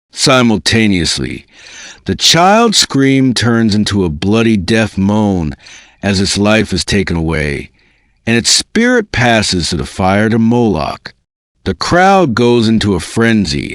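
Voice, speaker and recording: A man reading a book aloud as a dramatic narrator, with a deliberate storytelling delivery. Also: lowish voice, a bit bright, dry recording, clean studio sound. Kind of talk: scripted dramatic audiobook narration.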